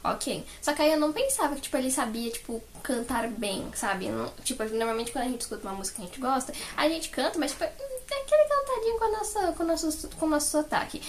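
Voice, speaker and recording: A young woman with a Brazilian Portuguese accent chatting casually as a fan, in a gushing, animated way. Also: sits high, fast, hardly any pauses, slight background noise. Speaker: fan vlogger. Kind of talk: casual chat recounting favourite moments.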